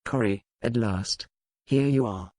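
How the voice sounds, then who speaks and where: Man acting a courteous, self-assured Regency gentleman. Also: mid-range voice, measured pace, no room sound, studio quality, lightly acted. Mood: courteous, breezy. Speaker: audiobook character reader. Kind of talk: scripted dialogue in a historical romance audiobook.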